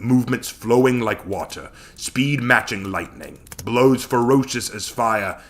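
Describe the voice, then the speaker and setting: A man voicing a stern, wise sword master in a story reading, speaking in a solemn, measured, instructive way. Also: fairly low pitch, a bit bright, pitched below the performer's own voice. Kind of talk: dramatic audiobook character voice.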